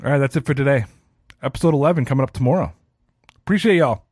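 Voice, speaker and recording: A man's voice: mid-range voice, fast talker, a little mellow, breathy edge, no room sound, clean studio sound. A man in his thirties hosting a solo sports podcast, talking casually and ranting with a self-deprecating streak. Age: 30s. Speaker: podcast host.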